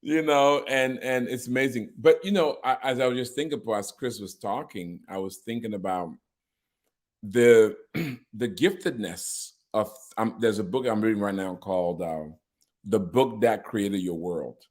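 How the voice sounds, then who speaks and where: Low-voiced guy hosting a podcast, easygoing chat, thinks out loud and laughs a lot. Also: measured pace. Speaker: podcast host, organization leader.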